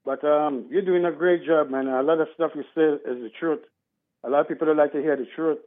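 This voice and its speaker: A man's voice: a little high, steady tone, brisk. A man from Trinidad, calling in to a radio show and speaking earnestly in a rambling way. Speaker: caller. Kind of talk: call-in talk radio. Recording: tinny phone line.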